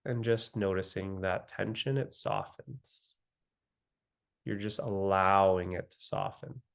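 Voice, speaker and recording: A man with a fairly low voice guides a meditation. He speaks very slowly, in short phrases with long pauses between them. His manner is gentle and soothing throughout. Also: vocal fry.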